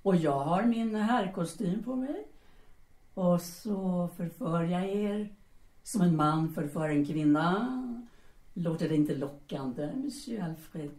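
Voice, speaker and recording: Actress as seductive bohemian woman, mellow, lively. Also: lowish voice, natural voice. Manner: teasing, self-assured, formal address. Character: bold, seductive, commanding Parisian bohemian woman in men's clothes. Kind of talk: audio drama dialogue. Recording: roomy, echoing sound.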